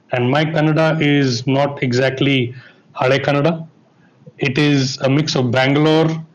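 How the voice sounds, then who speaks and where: A man explains a point on a conference panel, steady and mid-pitched. He builds his case step by step. The sound is dull and lo-fi. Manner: explanatory, asks listeners to bear with him.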